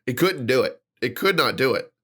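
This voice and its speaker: A stuffed-up, nasal man hosting a casual podcast. He rants along eagerly, stumbling through fillers and quick restarts as he builds his case, never running short of things to say. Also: mid-range voice, husky. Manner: animated ranting, many fillers and restarts. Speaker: podcast host.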